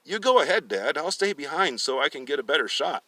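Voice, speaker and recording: A man voicing a greedy, scheming grown son in a storybook reading, loud and falsely cheery. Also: a little high, sing-song, fairly quick, no room sound, far from the mic, voice pushed up, full character voice, poor audio.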